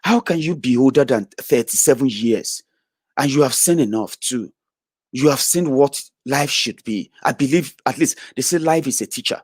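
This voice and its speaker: A man's voice: moderate pace, breathy edge, no echo, clean studio sound. Blunt, profane man ranting. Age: 30s. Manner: blunt and profane, swinging from loud outbursts to low mutters. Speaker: online political commentator. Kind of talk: ranting monologue to followers.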